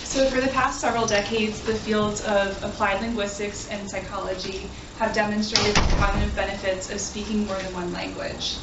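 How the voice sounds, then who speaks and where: A young woman gives an academic talk in an earnest way, with plenty of ums. Her voice stays fairly flat in pitch and is picked up from a distance in an echoey room. There is clear background noise. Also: normal speed, few pauses. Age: young adult. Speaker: graduate student presenter. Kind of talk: academic presentation.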